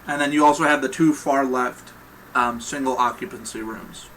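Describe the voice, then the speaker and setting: A man's voice: medium pitch, fairly even intonation, moderate pace, fairly bright tone, a little hiss or hum, rough recording. A young man narrating as game master in a casual, rambling way.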